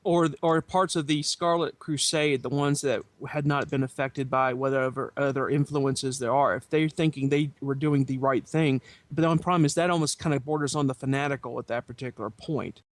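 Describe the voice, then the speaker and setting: A man speaking as a podcast panelist, explaining in a measured, philosophical way in a casual discussion. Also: mid-range voice, fairly even intonation, no echo.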